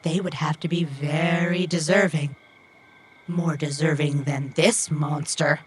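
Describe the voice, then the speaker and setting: A woman playing an earnest robot companion in an audio drama, literal-minded and brave though anxious, with stilted, formal, deliberate phrasing. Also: low voice, fairly even intonation, slightly rough. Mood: musing.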